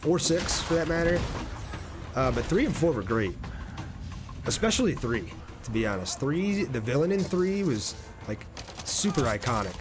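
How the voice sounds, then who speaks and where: A man's voice: a little high, sing-song, moderate pace, over music. A man doing casual live gameplay commentary and chatting with viewers in a laid-back, slangy way. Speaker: game streamer.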